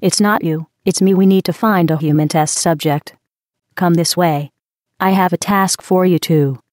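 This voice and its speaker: A woman voicing a cold, sardonic female AI that runs test chambers in a video game. She speaks with deadpan, passive-aggressive mock politeness through a synthetic robotic filter. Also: fairly low pitch, normal speed. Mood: dry, mock-polite. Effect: synthetic robotic vocal filter.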